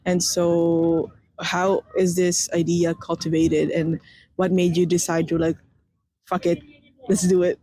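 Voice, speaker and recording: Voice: mid-range voice, level tone, measured pace, warm tone, no echo, rough recording. A radio interviewer of Filipino heritage asking long, rambling questions full of fillers.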